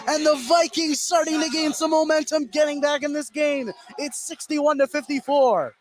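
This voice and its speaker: A man calling live basketball play-by-play for radio, an excitable announcer whose voice climbs toward shouting on big plays. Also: very high voice, moderate pace. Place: courtside in a school gym. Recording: clear gym noise behind the voice. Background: gymnasium ambience.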